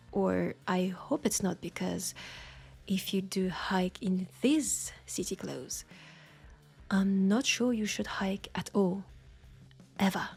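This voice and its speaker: A woman with a French accent playing a knowing, teasing witch in an intimate roleplay audio drama, with husky, breathy speech and dramatic pauses. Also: medium pitch, darkish tone, heavily acted. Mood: teasing, curious. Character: knowing, teasing, compassionate witch in a secluded werewolf town.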